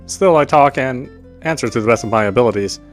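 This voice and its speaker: A man with a mid-pitched voice reads a visual novel's narration aloud. He now and then trips over a phrase and repeats it, with quiet music behind him. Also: animated, a little fast. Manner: stumbles and rereads phrases. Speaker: let's player reading game text aloud.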